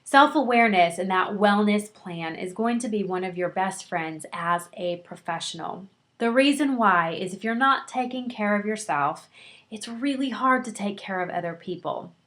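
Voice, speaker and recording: A woman's voice: medium pitch, lively intonation, normal speed, fairly bright tone. A middle-aged woman teaching counseling in a recorded lecture, talking to her students in an encouraging, conversational way with frequent ums and restarts. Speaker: counseling instructor.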